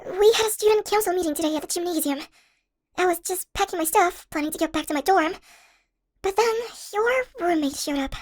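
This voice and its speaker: A young woman voicing an anxious, chatty shrunken college girl in an audio roleplay, with an expressive, hesitant manner. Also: very high-pitched, brisk. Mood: uneasy recounting. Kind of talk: first-person audio roleplay, conversational acting.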